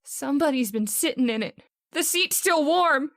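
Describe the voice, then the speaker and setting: A woman's voice: high-pitched, lively intonation, moderate pace, a bit bright. A woman playing an anxious young gangster's moll in an old-time radio horror drama. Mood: frightened. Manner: anxious, pleading. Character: nervous young female gangster's moll, cute and wary.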